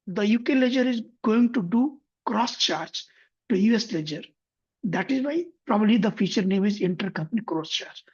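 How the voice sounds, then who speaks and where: A man teaching a software tutorial, walking through an example methodically and often correcting himself mid-sentence. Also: high voice, breathy edge. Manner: methodical, step-by-step, frequent self-corrections. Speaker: software instructor. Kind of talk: screen-share tutorial explanation. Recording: dull lo-fi recording.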